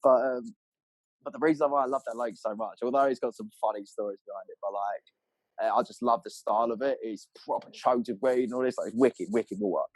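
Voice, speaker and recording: A man's voice: mid-range voice, brisk, darkish tone, dry recording, poor audio. A man telling stories casually as a podcast guest, in a matey, slangy way with plenty of swearing. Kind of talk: casual animated storytelling.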